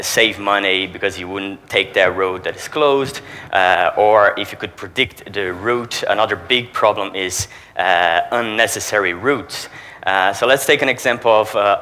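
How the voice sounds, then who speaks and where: Low-voiced man, Portuguese accent. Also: bright, forceful tone. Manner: explanatory, poses rhetorical questions to the audience. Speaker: regional sales manager. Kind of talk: conference presentation to an audience.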